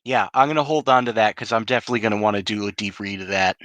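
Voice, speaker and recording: A man with a forceful, bright voice on the lower side talks as a player in a tabletop fantasy game. His speech is informal and inquisitive, with the odd mild swear, in a very dry recording. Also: very fast, no room sound. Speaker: tabletop role-playing player. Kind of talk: casual in-game chat.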